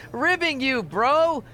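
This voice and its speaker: Chirpy, animated junior producer, noisy background. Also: male voice, very high voice, heavily acted. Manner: chummy, eager, officious. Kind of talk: scripted video game cutscene acting.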